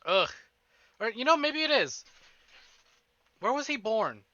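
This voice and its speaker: A man streaming a visual novel, expressive and informal as he chats and reads aloud. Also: very high voice, measured pace, no room sound. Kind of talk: casual livestream chat and reading visual novel narration aloud. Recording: slightly dull livestream audio. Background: game music.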